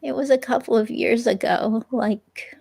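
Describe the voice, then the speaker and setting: Woman acting hesitant, creaky-voiced ex-girlfriend under questioning. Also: a little high, a little slow, mellow, full character voice. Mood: hesitant, vague. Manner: hesitant, rambling, casual. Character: evasive, unsure young ex-girlfriend being questioned by police. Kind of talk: dramatic reading of an interview transcript, conversational.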